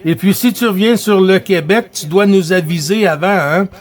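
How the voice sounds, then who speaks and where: A man with a notably high voice and a Quebec French accent runs a CB radio round. He talks casually, often repeating himself as he hands over the microphone. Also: moderate pace. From Quebec, Canada. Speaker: CB radio operator running the round.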